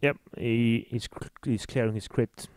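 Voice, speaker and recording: A man's voice: medium pitch, steady tone, a little slow, darkish tone, clean tone, no echo. A man with an Icelandic accent giving match commentary on a tabletop miniatures game.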